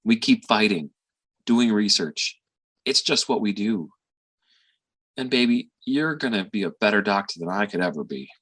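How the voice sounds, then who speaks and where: An actor playing a weary, tender middle-aged father and physician in a reflective, halting stage monologue. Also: fairly low pitch, lively intonation, fairly bright tone, slightly rough. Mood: tender, earnest. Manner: reflective, halting with sighs and pauses.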